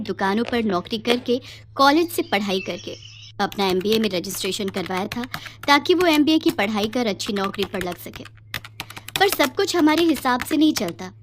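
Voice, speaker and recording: A woman's voice: a little high, animated, moderate pace, background noise. A woman narrating a scripted moral story.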